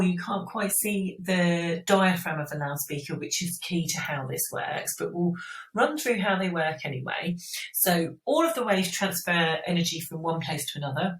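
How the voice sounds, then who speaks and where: Low-voiced woman teaching, echoey room. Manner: informal step-by-step explaining with "okay?" check-ins. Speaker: science teacher. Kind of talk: explanatory school revision lesson. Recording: roomy echo, distant microphone.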